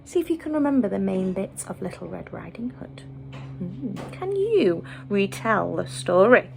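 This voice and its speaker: A woman teacher reading a children's story, expressive and deliberate, as if to small listeners. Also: medium pitch, vocal fry, background noise.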